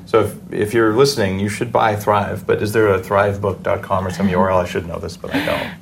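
A man hosting a podcast interview, talking in an informal, earnest and slightly halting way. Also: fairly low pitch, flat delivery, vocal fry, a little hiss or hum. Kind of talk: conversational interview.

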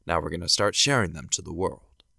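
A man's voice: low voice, brisk, creaky, bright, forceful tone, no room sound, clean studio sound. A man hosting and narrating a scripted show in the manner of 1930s and 40s radio. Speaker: show creator, host and narrator.

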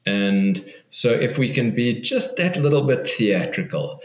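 A man's voice: mid-range voice, very animated, unhurried, roomy sound. A man, a guest author on an interview podcast, speaks thoughtfully and earnestly, often restarting and repeating words.

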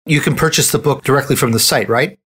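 A man's voice: mid-range voice, fast, fairly bright tone, husky. A man hosting a podcast in a chatty salesman's delivery, throwing in rhetorical questions. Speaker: podcast host. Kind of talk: interview hosting and scripted ad reading.

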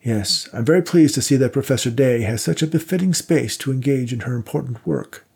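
A man's voice: mid-range voice, expressive, brisk, gravelly, a little mellow, husky, voice pushed up. A man voicing a fussy, awkwardly polite elderly male donor with a raised voice. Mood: eager.

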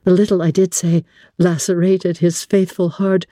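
A woman reading classic literature as a dramatic scripted narration, in a measured, expressive, literary manner. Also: lowish voice, no room sound, studio quality. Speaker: audiobook narrator.

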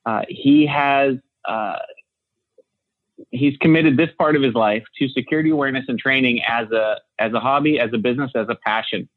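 A man's voice: mid-range voice, normal speed, no echo. A man speaking conversationally as a co-host, introducing a guest over a call. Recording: dry, phone-like narrow call audio.

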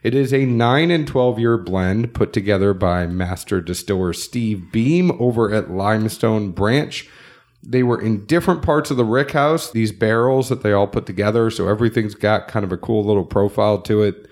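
A man with a nasal, somewhat low voice hosts a laid-back podcast. He chats easily with his co-host and slides into sponsor reads in a loose, conversational way. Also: moderate pace. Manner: relaxed, chatty, reads ad plugs loosely. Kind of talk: casual podcast chat.

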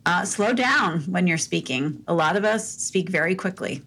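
A woman co-hosting a podcast in casual chat. Her soft voice sits a little low, and she gets to the point plainly and briskly, with a few "um"s along the way. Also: animated, breathy edge. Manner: direct, upbeat, fillers. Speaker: podcast co-host.

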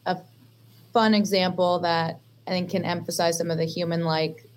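A woman with a Californian accent and a notably low voice speaks on a panel. She talks in a measured, unhurried way, leaning on "you know" and "um". Faint noise lies behind her. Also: level tone. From western United States. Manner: measured, filler-heavy. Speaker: investor panelist. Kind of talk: panel discussion.

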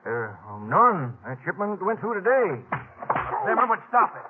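An excitable frontiersman in an old radio western. His voice sits a little high and swings up and down with folksy, rough talk. It sounds thin and tinny, like an old broadcast. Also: measured pace. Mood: defensive. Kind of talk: 1950s radio western acting. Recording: tinny, noisy 1950s radio recording.

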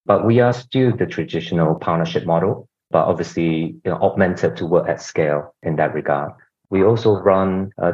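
Deep-voiced man from Singapore, dull audio. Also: darkish tone. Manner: explanatory, with frequent "you know" and repeats. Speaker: guest, healthcare executive. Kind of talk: conversational interview answers.

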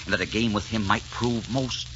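An actor playing a suave, daring French barber who poses as a duke, gallant, teasing and theatrical in 1940s radio drama style. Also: male voice, lowish voice, steady tone, moderate pace, pushed and bright, a little hiss or hum. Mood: playful, bold. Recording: dull 1940s radio recording with music.

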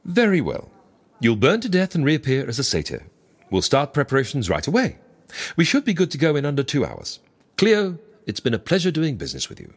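A dry, formal fixer in a comedy audio drama. The voice is husky and swings very widely in pitch, selling schemes in a measured, businesslike way. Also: wildly swooping pitch, heavily acted. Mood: satisfied, brisk. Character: wry, businesslike fixer who arranges faked deaths for clients. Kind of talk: comic audio drama acting.

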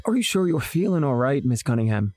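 A measured, formal judge. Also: male voice, medium pitch, sing-song, mellow, no room sound. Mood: stern. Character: stern, patient male judge. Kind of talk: 1940s radio acting.

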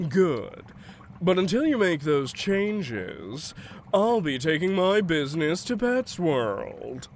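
An indignant customer in an audio comedy, scolding and self-righteous. His voice is high and unhurried, swinging widely in pitch, with noise around him. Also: big pitch swings, heavily acted. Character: indignant pet-store customer, conspiracy-minded scold.

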